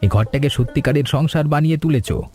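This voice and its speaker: A man voicing a calm, steady cartoon husband and father. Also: fairly high pitch, fast, faint music behind, natural voice. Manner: calm, reassuring. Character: kind middle-aged husband and father, steady. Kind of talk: cartoon voice acting.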